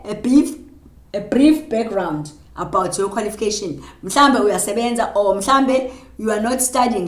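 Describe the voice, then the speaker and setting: South African teacher in echoey room. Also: female voice, a little high. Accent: South African, Zulu. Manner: mixes Zulu and English, dictates slowly while writing. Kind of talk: classroom lesson on job applications.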